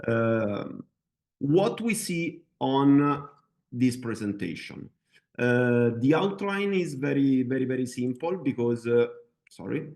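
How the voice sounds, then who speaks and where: Male guest presenter, Italian accent, average pitch, halting second-language English delivery. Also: darkish tone. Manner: hesitant, halting non-native English. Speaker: guest presenter, MRI radiographer. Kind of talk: webinar presentation.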